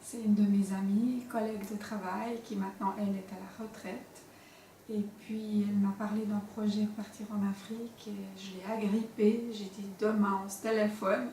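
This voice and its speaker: Woman, medium pitch, testimonial interview, echoey, faint background noise. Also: little pitch movement, moderate pace, warm tone. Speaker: nurse, volunteer. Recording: roomy, distant mic, faint noise.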